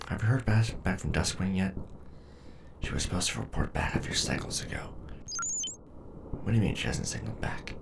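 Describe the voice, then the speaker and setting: A man playing a gruff, loyal, war-weary Decepticon robot soldier in an ASMR audio drama, with a deep gravelly voice. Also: background noise, exaggerated voice. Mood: urgent.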